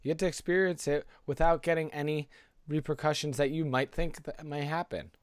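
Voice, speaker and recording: A man's voice: fairly high pitch, fairly even intonation, a little fast, smooth, no echo, clean studio sound. A man co-hosting a podcast in casual, informal conversation, often checking in with rhetorical questions. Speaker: podcast co-host.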